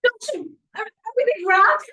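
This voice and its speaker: A brash, suspicious young female student played by a woman in a table read, with a very high voice that swings dramatically. She sounds echoey, picked up from across a room. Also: animated, normal speed, sharp tone, exaggerated voice. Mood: alarmed, dramatic. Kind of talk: informal script table read. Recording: echoey room, distant mic.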